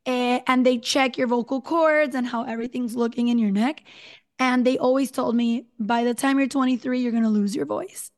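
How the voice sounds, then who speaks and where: A woman in her thirties with a hoarse voice, telling her own story as a guest in a podcast interview, chatty and full of "you know" and "like". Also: high-pitched. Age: 30s.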